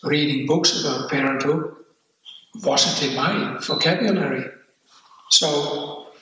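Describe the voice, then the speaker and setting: An older man from the Netherlands with a Dutch accent, speaking candidly and haltingly about his life, repeating words as he goes. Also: a little high, distant mic, noisy background. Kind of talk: personal reflective testimony. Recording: echoey room, off-mic.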